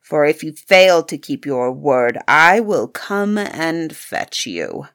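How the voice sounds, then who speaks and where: A woman's voice: deep voice, sing-song, slow, a bit bright, voice pushed down, full character voice. A woman voicing a frightful, angry male beast in a storybook, stern and commanding in manner. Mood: grim, commanding. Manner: stern, menacing, grim. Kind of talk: storybook character voice.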